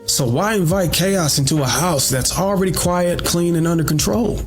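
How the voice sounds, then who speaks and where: A man's voice: a little high, moderate pace, soft background music. A man delivering a scripted monologue on dating in an emphatic way, repeating key lines for weight.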